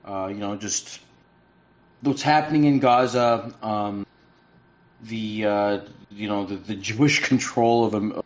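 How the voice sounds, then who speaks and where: A man from the United States, a podcast guest, speaking haltingly with many restarts over remote call audio that cuts in and out. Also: on the low side, fairly even intonation. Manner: halting, with frequent restarts and fillers. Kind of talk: casual podcast chat.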